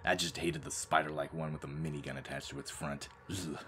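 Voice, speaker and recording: A man playing a sardonic, very deep-voiced space marine. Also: brisk, a little hiss or hum. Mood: dry, explanatory. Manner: sardonic, deadpan, brooding. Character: world-weary sardonic space marine demoted to janitor, man. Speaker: narrator of an audio drama. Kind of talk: dramatic first-person scripted narration.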